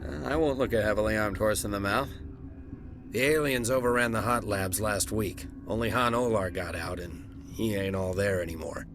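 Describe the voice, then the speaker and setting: An actor playing a blunt, weary security captain in a video game, with a folksy, colloquial way of talking. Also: male voice, lowish voice, moderate pace, over music, lightly acted. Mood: grim, weary. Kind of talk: video game dialogue acting.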